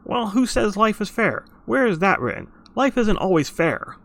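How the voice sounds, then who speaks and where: A man's voice: high voice, sing-song, normal speed, creaky, fairly bright tone, no echo, pitched above the performer's own voice, full character voice. Old grandfather reading a bedtime story, played by a man, mildly exasperated, close dry sound. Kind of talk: live script table read.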